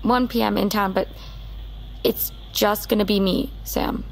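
A woman's voice: mid-range voice, steady tone, a little slow, creaky, slight background noise, poor audio. An actress playing a headstrong, pleading young American woman, stubborn and wounded, in a naturalistic audio drama. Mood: firm, guarded. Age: young adult.

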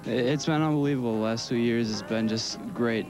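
A man's voice: mid-range voice, fairly quick, music in the background, low-quality recording. A young American man giving casual, hesitant interview answers as an athlete. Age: young adult. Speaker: soccer player being interviewed.

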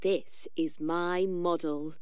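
A woman's voice: lowish voice, steady tone, slow pace, darkish tone, smooth, dry recording, studio quality. A woman voicing an eager, proud old inventor man in a children's storybook, exclaiming as she goes.

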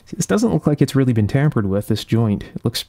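A man's voice: mid-range voice, sing-song, fast, mellow. A man talking through a hands-on repair step by step, unscripted, methodically thinking aloud at an indoor workbench. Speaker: host of an electronics repair channel. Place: indoor workshop bench.

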